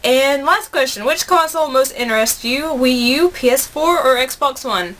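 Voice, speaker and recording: A woman's voice: high voice, animated, normal speed, fairly bright tone. An American woman in her twenties chatting casually and earnestly to viewers as a video blogger, answering questions in an informal, rambling way on a noisy home recording. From United States. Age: 20s. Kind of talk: casual chat to camera.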